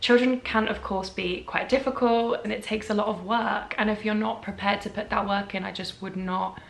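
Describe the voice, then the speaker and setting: A woman's voice: mid-range voice, steady tone, moderate pace, creaky, roomy sound, a little hiss or hum. A British woman in her twenties giving chatty, friendly advice to the camera in a casual vlog. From England. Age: 20s. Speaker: vlogger.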